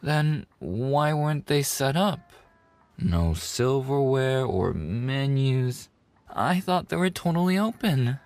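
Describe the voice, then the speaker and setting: An adorable puppy boy played by a man waits tables at a maid cafe in an ASMR roleplay. He talks slowly in a close, dry recording, cutesy and earnest, eager to please. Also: medium pitch, sing-song, darkish tone, no room sound. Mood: playful, possessive. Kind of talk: boyfriend ASMR roleplay acting.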